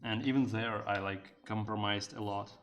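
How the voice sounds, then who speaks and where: A man's voice: fairly low pitch, fairly even intonation, moderate pace, fairly bright tone, soft background music. A man streaming live, chatting casually, answering chat with lots of fillers and joking in broken learner Japanese. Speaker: streamer and game developer.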